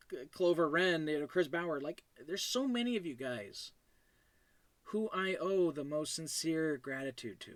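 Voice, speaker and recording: A man's voice: a little high, a bit bright, no room sound. A man hosting his own show, talking casually and effusively, emotional and overjoyed, stammering and trailing off as he goes. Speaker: host and creator of an animated web series. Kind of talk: casual unscripted thank-you monologue.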